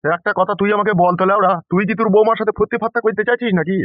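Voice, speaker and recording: A man plays a blustering, coarse cartoon boss of a man, bossy and crude. He rattles his lines out quickly in exaggerated comic style, on dry, slightly dull lo-fi audio. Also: high-pitched, steady tone, no echo, soft background music, lightly acted. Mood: indignant.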